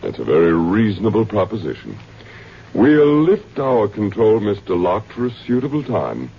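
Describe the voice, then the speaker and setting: An actor playing a courteous, superior alien overseer in a radio drama, speaking with formal, precise phrasing. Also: male voice, lowish voice, huge pitch swings, normal speed, vocal fry, a little hiss or hum, exaggerated voice. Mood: cool, measured. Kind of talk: mid-century radio drama acting. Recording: dull vintage radio recording with music.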